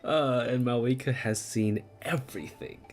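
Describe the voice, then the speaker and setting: A woman's voice: rumbling low, unhurried, a little mellow. A woman game streamer giving casual, sweary live reactions in a blunt, teasing, exclamatory way.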